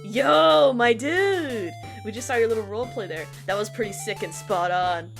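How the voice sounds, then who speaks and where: A woman's voice: on the high side, moderate pace, vocal fry, soft background music. A woman playing a breezy, slangy young convention-goer in a comic fan dub, casual and enthusiastic. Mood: upbeat.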